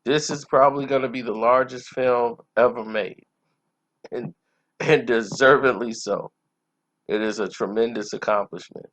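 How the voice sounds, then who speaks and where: A man's voice: mid-range voice, steady tone, moderate pace, a bit bright. A man hosting a casual movie review, talking informally and conversationally about his opinions. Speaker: movie reviewer, channel host. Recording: dull lo-fi sound.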